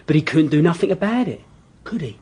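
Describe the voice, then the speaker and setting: A man's voice: fairly high pitch, big pitch swings, a little fast, a little mellow, husky. An actor playing a mocking, menacing male thug with a London accent in a radio drama. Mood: mocking menace. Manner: mocking, taunting rhetorical questions. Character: menacing raw-boned male thug built like a prizefighter.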